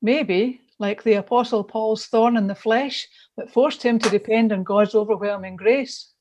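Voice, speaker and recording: A woman reads a prepared devotional in a voice pitched a little high. She sounds earnest and puts emphasis into the lines as a church reader. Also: steady tone, measured pace, darkish tone, a little hiss or hum. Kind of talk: scripted devotional reading.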